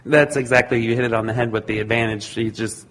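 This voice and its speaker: A man's voice: medium pitch, steady tone, quick pace, fairly bright tone. A man speaking casually and unscripted as an audience participant putting a question at a seminar. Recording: echoey hall with faint noise.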